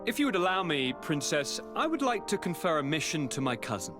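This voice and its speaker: An actor playing a courteous, theatrical young noble governor in a video game, with flowery, aristocratic manners. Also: male voice, fairly high pitch, very animated, a little fast, music in the background. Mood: courteous, breezy.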